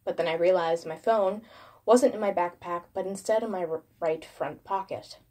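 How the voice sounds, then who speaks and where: A woman's voice: fairly low pitch, moderate pace, a little mellow. Woman dramatically narrating horror.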